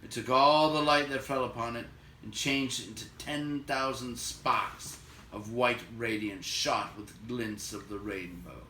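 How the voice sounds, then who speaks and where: A man reading a fantasy novel aloud as an expressive narrator, with dramatic, suspenseful storytelling. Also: medium pitch, measured pace. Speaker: storybook reader. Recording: echoey room, distant mic, faint noise.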